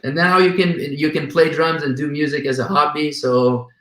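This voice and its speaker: A man's voice: on the high side, little pitch movement, normal speed, fairly bright tone, echoey room, poor audio. A man with a Brazilian accent hosting a casual interview, asking eager questions with many fillers. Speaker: host.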